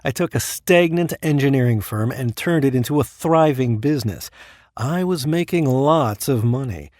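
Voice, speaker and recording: An actor playing a smug, stubborn dead business tycoon in an audio drama, with a wry, self-satisfied manner. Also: male voice, mid-range voice, lively intonation, normal speed, a little mellow, studio quality, natural voice. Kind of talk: first-person audio drama narration and dialogue. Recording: noisy background ambience, sometimes echoey.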